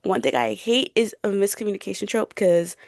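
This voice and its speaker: A woman's voice: mid-range voice, lively intonation, brisk, vocal fry, a little mellow, no echo, clean studio sound. A young woman in a casual book chat as a podcast co-host, gushing, slangy and playful.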